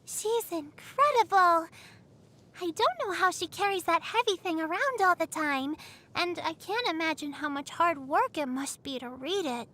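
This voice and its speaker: A woman's voice: very high-pitched, lively intonation, moderate pace, sharp tone, heavily acted. A woman voicing a sweet, earnest little girl in an anime game, caring, innocent and chatty. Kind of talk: anime game character voicelines. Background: background music.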